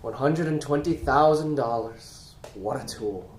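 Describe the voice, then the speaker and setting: A woman's voice: very deep voice, measured pace, a little mellow. A woman student actor playing a scornful, argumentative loan shark in a stage play, with an emphatic, sardonic delivery. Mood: incredulous, mocking. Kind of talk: stage play acting in a one-act play. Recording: echoey theater room, faint noise.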